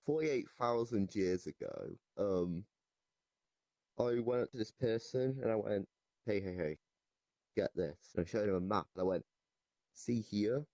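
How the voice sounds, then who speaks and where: A young man with a very deep, somewhat mellow voice improvises comedy in casual chat. He speaks deadpan and hesitantly, and the sound is very dry, with no room echo. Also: a little slow, pauses often. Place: online voice chat in a virtual reality game.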